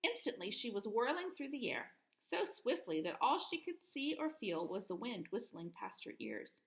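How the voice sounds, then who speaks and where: A woman reading a children's story aloud in an expressive, clearly phrased teacher's manner. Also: on the high side, poor audio.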